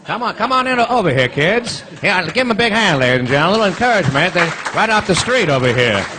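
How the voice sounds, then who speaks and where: A man plays a wisecracking comedian hosting a fifties radio quiz. His voice sits fairly high and swings widely as he needles contestants with wry asides. It is a live stage recording with a noisy audience. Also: big pitch swings, fast talker, a crowd in the background. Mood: wry, teasing. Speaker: quiz show host. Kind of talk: comic stage recreation of 1950s radio quiz.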